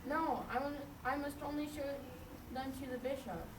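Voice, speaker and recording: A woman's voice: high voice, normal speed, natural voice. A woman playing a humble, earnest peasant man in a puppet show, with simple delivery. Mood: earnest, puzzled. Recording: echoey, noisy, distant live recording.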